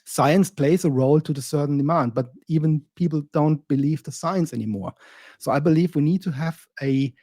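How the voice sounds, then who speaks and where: A man's voice: on the high side, moderate pace, mellow, no room sound, clean studio sound. A man co-hosting a podcast, arguing a point in casual talk and acting out the remarks he quotes. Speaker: podcast co-host. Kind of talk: casual discussion.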